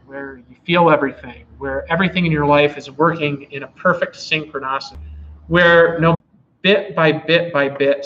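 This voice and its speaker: A hoarse man giving a rambling, repetitive freestyle motivational talk to a live audience, often restarting his phrases. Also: a little high, fairly bright tone. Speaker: motivational speaker. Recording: dull lo-fi with faint background hiss.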